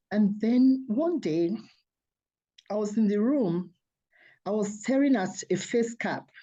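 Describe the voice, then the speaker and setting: Earnest woman guest, warm mellow tone, dull lo-fi line. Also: fairly high pitch. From Nigeria. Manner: soft-spoken, earnest, laughs often. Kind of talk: interview guest telling her story.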